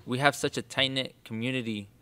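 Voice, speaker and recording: A man's voice: medium pitch, fairly even intonation, brisk, bright, forceful tone, clean tone. A man interviewing a boxer in a chatty, enthusiastic manner, laughing often. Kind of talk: casual interview chat.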